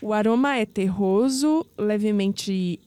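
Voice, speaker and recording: Lady playing a curious young brazilian woman in an audio drama, warm mid voice, coy and a bit sceptical. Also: medium pitch, slow, clean tone, no room sound, natural voice. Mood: poised, explaining.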